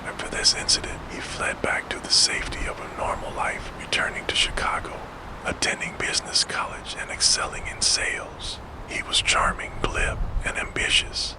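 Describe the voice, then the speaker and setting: A man narrating a scripted story in a hushed, gentle ASMR whisper, as the host of a sleep storytelling show. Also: normal speed, poor audio. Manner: hushed, gentle, soothing delivery. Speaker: host and narrator of a true crime sleep channel.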